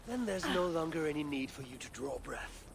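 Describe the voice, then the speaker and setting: A woman's voice: low voice, lively intonation, moderate pace, creaky. A woman playing a proud, battle-hardened god in disguise in a video game, wry, commanding and threatening. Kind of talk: video game cutscene acting. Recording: noisy game ambience behind.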